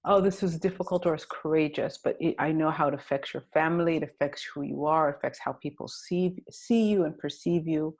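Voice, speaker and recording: Gravelly-voiced woman interviewer. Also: deep voice, fairly even intonation, fairly quick, hardly any pauses, darkish tone. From Sudan. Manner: thoughtful, with many fillers and "you know"s. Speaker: interviewer, filmmaker. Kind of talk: festival Q&A conversation.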